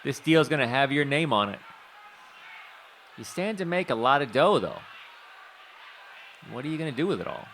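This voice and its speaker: An actor playing a tenacious talent scout, talking fast like a salesman in an audio drama. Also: mid-range voice, sing-song, a bit bright, slight background noise. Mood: persuasive. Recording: music playing under the voice.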